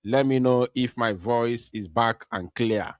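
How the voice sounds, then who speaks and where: A man hosts a call-in talk show from his computer, his mid-pitched voice dry and close with almost no room sound. He presses his points earnestly, circling back to repeat them and pausing on fillers as he gathers his thoughts. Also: no echo. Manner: earnest, repeats himself, many hesitations. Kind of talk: live online talk show commentary. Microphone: computer mic over internet call.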